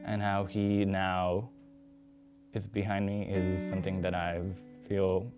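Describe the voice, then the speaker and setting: Even-toned young man with lowish voice. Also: takes it slowly, muffled recording. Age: young adult. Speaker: actor and former theatre intern. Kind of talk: sincere reflective documentary interview. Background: soft guitar music.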